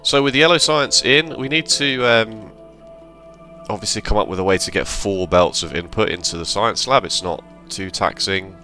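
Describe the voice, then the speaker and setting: A man giving relaxed, rambling commentary over his gameplay, sounding slightly hoarse and correcting himself as he goes. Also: fairly low pitch, moderate pace, soft background music. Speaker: let's play host.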